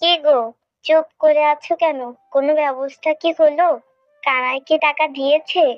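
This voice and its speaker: A woman's voice: high voice, steady tone, moderate pace, no echo, natural voice. A woman voicing an anxious, scolding village mother, a poor farmer's wife, in a cartoon. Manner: fretful, nagging. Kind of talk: Bengali moral-story cartoon dubbing. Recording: muffled audio with background music.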